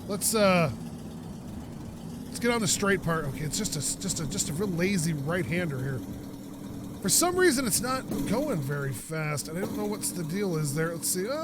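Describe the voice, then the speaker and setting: A man's voice: sits high, noisy background. A man from North America hosting a let's-play video, chatting and joking casually while he plays, and he has the sniffles. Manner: chatty, jokey, rambling asides. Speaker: gaming video host.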